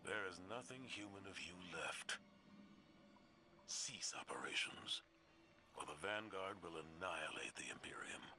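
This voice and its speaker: A stern older commander speaks low and solemn over loud ambience. He is a righteous, dutiful military leader from a video game, giving orders in a measured, grave way. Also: expressive, very noisy. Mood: stern warning. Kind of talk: dramatic video game acting.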